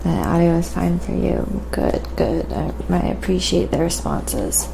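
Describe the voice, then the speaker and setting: A woman with a very creaky, fry-laden voice talks casually to livestream viewers as she works. She takes her time in an unhurried, halting manner, thinking aloud. Clear noise sits behind her voice. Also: fairly low pitch, big pitch swings. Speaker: livestream host and painter. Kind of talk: casual livestream chat while painting, reading viewer comments.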